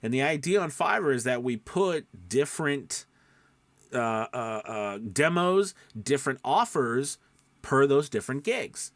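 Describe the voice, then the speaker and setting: A man with a medium-pitched voice speaks as an informal instructor. He leans on important points, repeats them and tags on little check-in questions. The sound is dry, with hardly any room in it. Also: expressive, a little slow, no echo. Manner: emphatic, repeats key points, checks in with "right?" and "okay?". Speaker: voice-over coach. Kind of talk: informal instructional talk, tips for aspiring voice actors.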